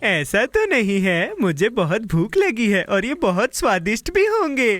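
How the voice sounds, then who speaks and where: A woman's voice: medium pitch, measured pace. A woman voicing a cheerful, impulsive, kind-hearted young boy in a playful, childlike way for a cartoon dub. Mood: hungry, eager. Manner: playful, expressive, childlike delivery. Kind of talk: cartoon dubbing. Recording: music bed under the voice.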